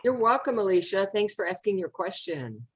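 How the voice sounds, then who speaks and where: A woman's voice: mid-range voice, very animated, normal speed. A woman hosting a notary question-and-answer session over a video call, friendly and encouraging. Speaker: host of a notary Q&A webinar. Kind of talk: casual moderating on a video call.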